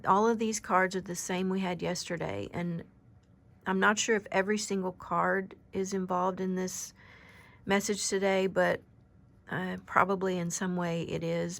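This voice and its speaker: A woman in her fifties with a very soft, somewhat low voice. She talks gently and unhurriedly as she gives an oracle card reading, pausing and restarting as her thoughts come. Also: little pitch movement, creaky. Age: 50s. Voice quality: very soft-spoken. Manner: gentle, halting, with frequent pauses and restarts. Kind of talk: unscripted spiritual card reading.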